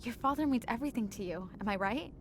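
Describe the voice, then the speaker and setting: A woman's voice: fairly high pitch, lively intonation, quick pace, noisy background. An actress playing a persistent, grieving woman agent in an audio drama, earnest and blunt. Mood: blunt, pressing.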